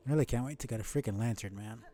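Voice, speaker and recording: A man's voice: mid-range voice, fast talker, mellow, faint music behind. A man streaming a game live, thinking aloud casually, often correcting himself and swearing mildly. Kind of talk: casual live gameplay commentary.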